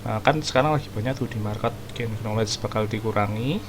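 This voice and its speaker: A man's voice: fairly low pitch, fast, background noise. A man from Indonesia chatting casually while playing a game, explaining the story to viewers. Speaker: gaming streamer. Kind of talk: casual let's-play commentary. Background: game music.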